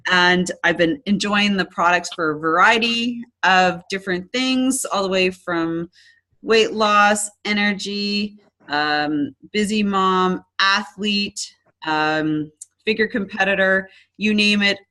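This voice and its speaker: A woman's voice: medium pitch, slow pace, sharp tone. A woman hosting a casual online business presentation, chatty and upbeat, with frequent ums and restarts. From Canada.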